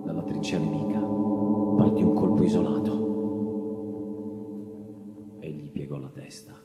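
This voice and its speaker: An actor playing a wistful Italian officer of the First World War, reciting his trench memoir theatrically, with drawn-out words and swelling, fading emphasis. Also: deep voice, dark tone. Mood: grave, mournful. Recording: echoing voice mixed with music. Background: guitar and percussion music.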